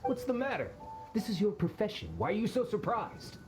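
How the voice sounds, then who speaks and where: Greedy loudmouth treasure hunter, highish and bouncy, mocking tone. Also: male voice, very animated, brisk, over music. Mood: scornful. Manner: brash and mocking. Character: greedy, rude male treasure seeker. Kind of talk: video game voice acting.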